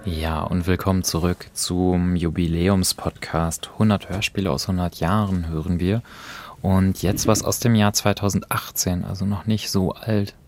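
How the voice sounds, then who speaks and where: A man's voice: deep voice, level tone, normal speed, darkish tone. A man co-hosting a podcast in a thoughtful, conversational way, discussing radio drama and often checking for agreement. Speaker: podcast co-host.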